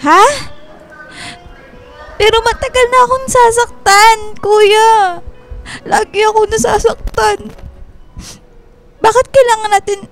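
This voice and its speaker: A woman's voice: very high voice, fairly even intonation, slow, pauses often, full character voice. A woman playing a timid, hurt young girl with a Filipino accent in a radio drama, hesitant and pleading. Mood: tearful pleading. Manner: hesitant, meek and polite, pleading. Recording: music bed behind the voice.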